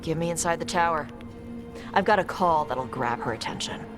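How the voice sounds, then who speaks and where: Focused, practical dragon hunter. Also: female voice, deep voice, animated, moderate pace. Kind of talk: video game voice acting. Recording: faint music behind.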